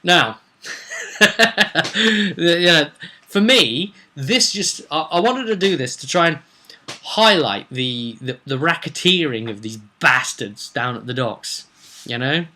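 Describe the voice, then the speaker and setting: A man's voice: on the high side, lively intonation, slow, pushed and bright. A man chatting casually as a game master, recounting a tabletop roleplay session in a rambling, storytelling way. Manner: chatty, slips into acted quotes of characters.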